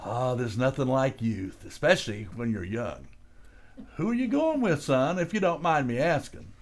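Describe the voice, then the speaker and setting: A man's voice: medium pitch, expressive, moderate pace, close to an everyday voice. A man playing a grumbling, put-upon working-class husband and father in an old-time radio comedy, with blustering, plain working-man speech. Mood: proud, wistful.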